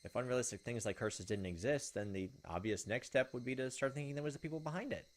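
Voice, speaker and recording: A man's voice: medium pitch, fast talker. A man doing casual let's play commentary, moving between reading visual novel text aloud and giving his own reactions. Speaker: gaming video host.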